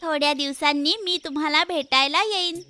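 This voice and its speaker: A woman's voice: high-pitched, normal speed, lightly acted. A woman playing a caring but firm mother pig in a children's cartoon story, instructing earnestly.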